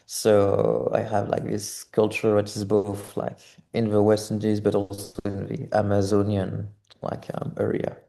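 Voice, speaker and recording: A man's voice: on the low side, monotone, a little slow, gravelly, dark tone. A man in his twenties from French Guiana, an artist talking reflectively as an interview guest, hesitant and searching for words.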